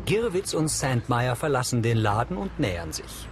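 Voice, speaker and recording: A man's voice: mid-range voice, very animated, normal speed, noisy background. A man reading scripted audio description narration for a television crime series.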